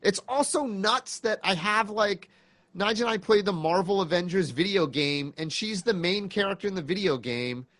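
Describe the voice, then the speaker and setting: A man's voice: high-pitched, animated, moderate pace, fairly bright tone, no room sound. A man in his thirties chatting casually as a podcast guest, enthusiastic and reflective. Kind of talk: casual chat.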